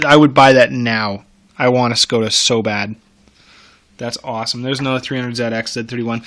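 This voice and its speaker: A man's voice: mid-range voice, a bit bright. A man giving casual, off-the-cuff commentary like a car enthusiast, with wry, enthusiastic asides muttered to himself. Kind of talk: casual off-the-cuff commentary while browsing classified ads.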